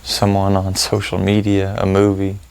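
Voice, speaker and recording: A man leading a guided meditation, giving gentle, informal instructions with unhurried pauses. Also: low voice, completely flat delivery, vocal fry, clear, resonant tone.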